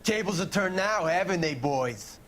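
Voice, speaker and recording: An actor playing an angry, gruff old shop owner, defiant and taunting, in a video game. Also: male voice, high voice, animated, normal speed, fairly bright tone, a little hiss or hum. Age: older.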